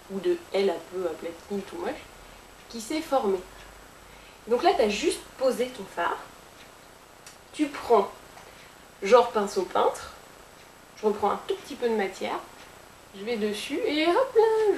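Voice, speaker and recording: Lady chatting thru a makeup tutorial, kinda high voice, roomy echo and faint hiss. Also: big pitch swings, slow, long pauses, a little mellow. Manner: chatty and playful, with sound effects and jokes. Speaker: beauty vlogger. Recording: echoey room with faint hiss.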